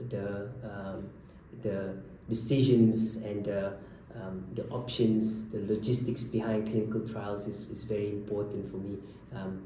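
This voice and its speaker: Hesitant doctor in an echoey room. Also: male voice, on the low side, mellow. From Malaysia. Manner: hesitant, many fillers and restarts. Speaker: haematology research fellow. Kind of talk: unscripted introduction to camera. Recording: echoey room, from a distance, faint background noise.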